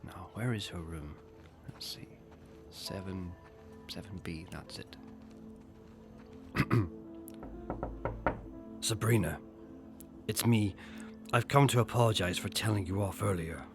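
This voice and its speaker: An actor playing a solemn, earnest middle-aged headmaster in an audio drama, with a Northern Irish accent and a measured, oratorical manner. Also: male voice, deep voice, music in the background. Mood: gentle, apologetic. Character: solemn, rousing male headmaster of a post-apocalyptic school.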